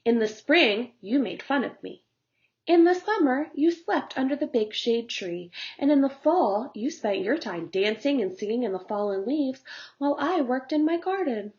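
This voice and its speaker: A tiny, industrious ant from a children's storybook , acted out by a woman. She speaks in a fairly high, emphatic voice, earnest and scolding. The recording is slightly dull. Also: animated, moderate pace, darkish tone. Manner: emphatic, chanting. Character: tiny, busy, hard-working female ant, earnest and scolding. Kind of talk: children's storybook character voice with work chants.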